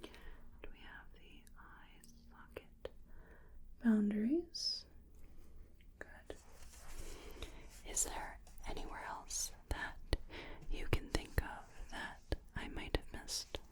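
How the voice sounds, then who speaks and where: Woman, ASMR whisper, gentle soft-spoken manner, breathy, fairly high. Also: expressive, takes it slowly, long pauses. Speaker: ASMR artist.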